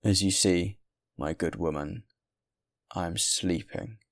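A man's voice: low voice, expressive, moderate pace, vocal fry, slightly rough, exaggerated voice. A man playing a weary, bitter former soldier, harsh and curt, in an audiobook story. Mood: harsh, angry.

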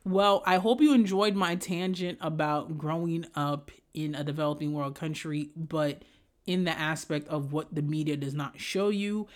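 A podcast host from Haiti delivers a casual solo monologue in a medium-pitched voice. The talk is candid and opinionated, with a conversational flow full of slang and loose profanity. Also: normal speed.

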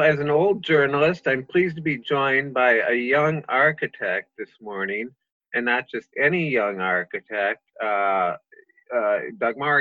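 A man interviewing as a reporter, halting and often repeating his words. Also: medium pitch, dry recording. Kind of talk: interview questions. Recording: dry, dull sound.

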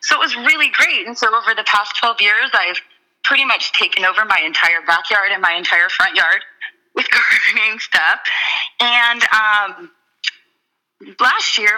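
A woman, the guest, explaining her urban farm in a casual interview, steady with frequent ums. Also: medium pitch, moderate pace, poor audio.

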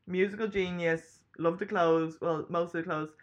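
A woman's voice: lowish voice, fairly even intonation, moderate pace, highs cut off. A young woman in casual, swearing chat, laughing often. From Ireland.

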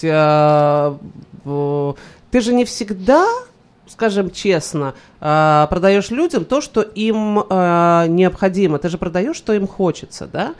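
A woman co-hosting a radio show, teasing the guest and asking halting questions. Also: lowish voice, darkish tone. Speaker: radio co-host. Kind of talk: casual radio chat.

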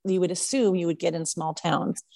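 A woman's voice: fairly low pitch, fairly even intonation, brisk, vocal fry, mellow, no room sound. Chatty woman podcast host, dry sound. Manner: chatty, enthusiastic, many fillers. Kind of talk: casual interview chat.

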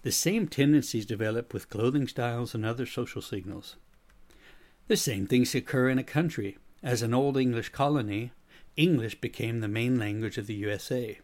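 A middle-aged man with an American accent slightly tinged with Swedish, delivering a prepared explanatory monologue in a measured, didactic manner. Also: medium pitch, a little mellow. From United States. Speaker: podcast host, speaker and consultant.

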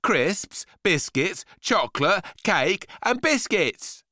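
A man's voice: high-pitched, big pitch swings, slow, sharp tone, no room sound, clean studio sound, pitched above the performer's own voice, heavily acted. A man voicing a bratty, greedy young boy in a storybook, whiny and slangy, given to explosive outbursts. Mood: cheeky, indignant.

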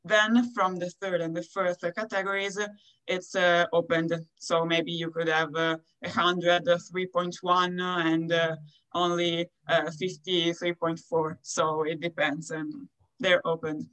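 Young woman, Italian accent, lowish pitch, non-native speaker, halting delivery, searching for words, athlete interview answers. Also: little pitch movement, fairly bright tone, poor audio. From Italy. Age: young adult. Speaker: college tennis player being interviewed.

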